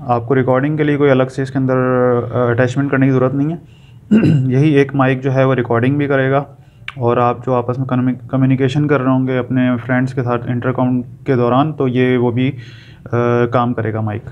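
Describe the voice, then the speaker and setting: A man's voice: mid-range voice, little pitch movement, normal speed. A man gives an informal step-by-step product tutorial, explaining patiently and often checking in with short tags. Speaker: product reviewer giving a tutorial. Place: indoor room, tabletop demonstration. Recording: dull sound with background noise.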